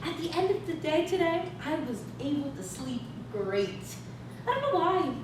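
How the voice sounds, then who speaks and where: Woman playing a sarcastic wife needling her spouse, high voice, big echo. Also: very animated, moderate pace. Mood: smug. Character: taunting estranged wife of a scientist. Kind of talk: staged reading of a play. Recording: echoey, noisy stage recorded from far off.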